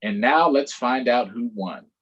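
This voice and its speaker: A man hosts a children's read-aloud in a slightly husky voice. His delivery is very animated, moving between narration and playful asides to young listeners. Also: mid-range voice, measured pace, lo-fi sound. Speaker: storytime host, reader.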